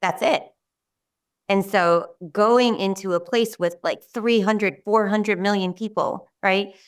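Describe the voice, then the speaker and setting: Israeli woman speaking English, smooth voice. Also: medium pitch, fairly even intonation, slow, distant mic. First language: Hebrew. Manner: rambling, warm, with many restarts and fillers. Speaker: guest. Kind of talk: casual interview talk.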